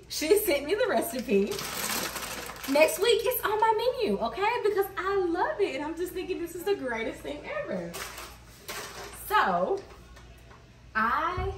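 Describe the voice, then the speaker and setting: A woman's voice: on the high side, a little hiss or hum. A woman in her thirties chatting to her viewers while she cooks dinner at home, telling a story and laughing often. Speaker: mom vlogger. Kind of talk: cook-with-me vlog chat. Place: home kitchen, indoors. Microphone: camera across the kitchen. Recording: echoey kitchen, camera mic at a distance.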